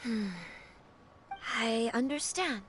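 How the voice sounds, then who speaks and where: High-voiced, troubled young noblewoman. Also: takes it slowly, fairly bright tone, soft background music. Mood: pensive, troubled. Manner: formal, earnest, hesitant. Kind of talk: video game drama acting.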